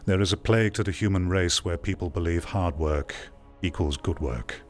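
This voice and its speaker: Blunt, exasperated man with low voice. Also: moderate pace, faint music behind. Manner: blunt, exasperated, repeats phrases for emphasis. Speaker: host giving commentary on game news. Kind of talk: casual reaction commentary.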